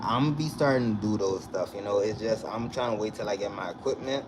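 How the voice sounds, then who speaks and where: A young man from the Bahamas, vlogging in a casual, animated way and often checking in with the viewer. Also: lowish voice, quick pace. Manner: animated, repeats "you understand?" to the viewer. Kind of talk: casual direct-to-camera chat. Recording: noisy recording with vehicle sounds behind.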